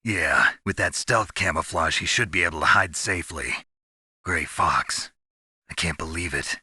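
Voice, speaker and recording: A man playing a gruff, hard-bitten covert soldier in a video game, gravelly and terse, coldly pragmatic. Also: low voice, unhurried, pushed and bright, dry recording, clean studio sound, heavily acted. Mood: grim, cold. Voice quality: gravelly, husky. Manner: terse, guarded.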